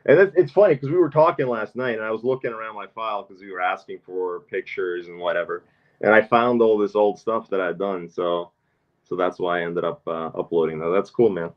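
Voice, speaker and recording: A man's voice: deep voice, brisk. A man from Norway chatting casually as a podcast guest, rambling with lots of fillers and restarts, short on sleep. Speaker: NFT artist guest. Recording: dull lo-fi sound.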